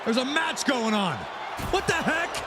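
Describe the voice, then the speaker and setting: A man's voice: very high voice, very animated, measured pace, very noisy, exaggerated voice. A man giving dramatic, emphatic wrestling commentary. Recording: loud crowd and music behind. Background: arena crowd and loud music.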